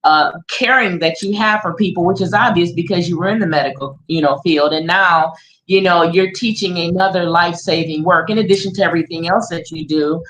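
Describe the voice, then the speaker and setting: A woman's voice: lowish voice, steady tone, brisk, a bit bright. A woman hosting an interview, praising her guest and asking follow-up questions in an encouraging way. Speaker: host. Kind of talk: interview host. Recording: roomy echo.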